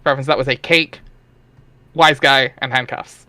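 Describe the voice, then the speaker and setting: A man's voice: mid-range voice, steady tone, normal speed, sharp tone, dry recording. Wry man narrating, dry close sound. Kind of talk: amateur dramatic reading of a webcomic with casual asides.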